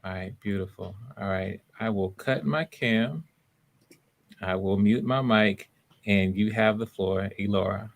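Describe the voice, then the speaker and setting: A man's voice: fairly low pitch, measured pace, creaky. A man hosting live voice auditions, talking casually and encouragingly to the people taking part. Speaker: host running voice auditions. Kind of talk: casual livestream talk.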